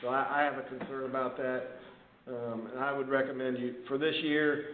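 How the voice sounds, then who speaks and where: A man's voice: medium pitch, little pitch movement, normal speed, phone-quality sound. A man, a town official, talking informally in a council meeting over a conference call. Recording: echoey, noisy phone conference line.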